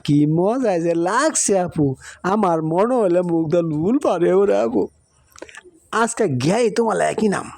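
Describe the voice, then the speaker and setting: An eager young cartoon boy, acted by a man, chatters in a high voice with a regional Bangladeshi Bengali accent. The sound is dry and close, and he talks to his big sister with keen excitement. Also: no echo. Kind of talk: cartoon moral story dialogue.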